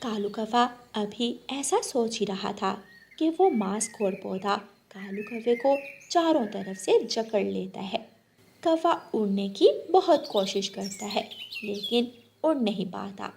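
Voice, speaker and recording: A woman's voice: mid-range voice, lively intonation, slow, gravelly, darkish tone, a little hiss or hum. A woman delivering scripted narration for a children's story.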